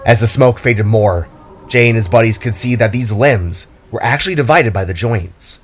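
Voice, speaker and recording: A man's voice: medium pitch, moderate pace, soft background music. A man narrating a scripted fantasy story in a dramatic storytelling style.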